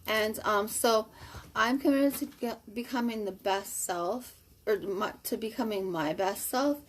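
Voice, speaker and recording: A woman in her thirties hosting a casual live stream from home. She reads viewer comments aloud in an upbeat, chatty way with many fillers. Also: a little high. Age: 30s. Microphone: webcam or phone on a live video.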